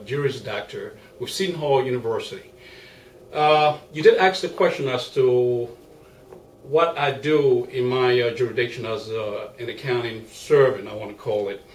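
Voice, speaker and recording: A man from Liberia with a Liberian accent, giving a guest interview in a measured, formal way with many fillers. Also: mid-range voice. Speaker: guest, accountant and business owner. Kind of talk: interview answers. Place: small makeshift home studio. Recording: roomy, distant mic with faint hiss.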